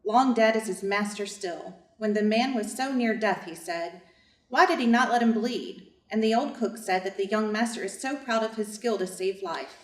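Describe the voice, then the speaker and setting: Woman acting loyal nursemaid storyteller, expressive, mid-pitched, far from the mic, echoey room. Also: normal speed, natural voice. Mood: indignant. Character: loyal, gossiping household nursemaid, sorrowful storyteller. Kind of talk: reader's theater narration.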